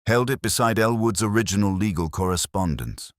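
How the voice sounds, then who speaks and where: A man's voice: lowish voice, fairly quick, dry recording, clean studio sound, pitched above the performer's own voice, lightly acted. A man voicing a shrewd, grave Victorian detective in an audiobook, speaking with precise, formal deduction. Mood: intent, analytical. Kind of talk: audiobook character dialogue.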